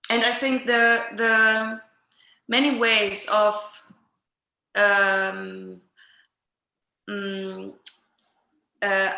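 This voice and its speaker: A woman interviewer explaining reflectively in conversation, hesitant and often pausing mid-sentence, recorded with roomy echo. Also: fairly high pitch, pushed and bright. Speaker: interviewer in an art dialogue. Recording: roomy, echoing sound.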